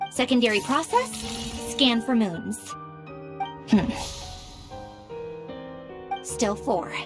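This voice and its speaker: High, animated young genius researcher. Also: female voice. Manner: theatrical, thinking aloud in bursts. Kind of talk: animated game cutscene voice acting.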